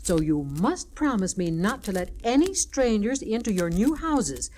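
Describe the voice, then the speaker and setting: A woman's voice: mid-range voice, very animated, a little slow, a little mellow, a little hiss or hum. An actress playing a fussing, loving, admonishing mother pig on a 1960s children's storybook record. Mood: cautioning.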